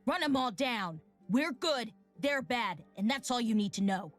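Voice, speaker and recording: A woman's voice: fairly high pitch, expressive, measured pace, soft background music. A woman voicing a bitter, defiant teenage girl warrior in a video game, blunt and sardonic.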